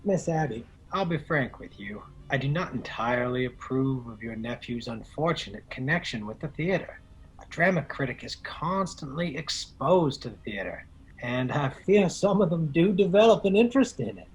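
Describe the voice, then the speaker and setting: Man acting a husky, prim reverend. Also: mid-range voice, animated, normal speed, poor audio. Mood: polite, prim. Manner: polite, formal, stuffy. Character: prim, disapproving, mild-mannered reverend gentleman. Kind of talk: radio play acting.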